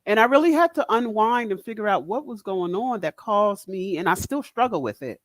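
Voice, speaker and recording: Deep-voiced direct woman, dry sound. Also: animated, fairly quick, no echo. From Northeast US. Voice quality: big, deep, loud voice. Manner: direct, candid, laughs often. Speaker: motivational speaker, guest. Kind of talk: casual podcast chat.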